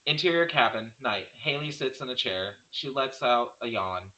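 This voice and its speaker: Man, narrator, medium pitch, sharp bright tone, screenplay stage directions. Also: animated, normal speed, poor audio.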